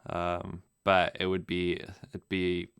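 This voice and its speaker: A young man who grew up in Namibia, native German speaker, answering interview questions in a relaxed, rambling way with many fillers and restarts. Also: low voice, slow pace, gravelly, clean tone, no room sound. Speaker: guest pilot.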